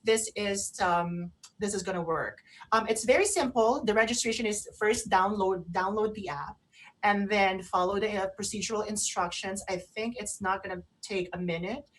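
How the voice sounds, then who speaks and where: A woman co-hosting an online radio show, chatting casually and explaining things in a halting way. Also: medium pitch, low-quality recording. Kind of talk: casual talk, explaining and giving shout-outs.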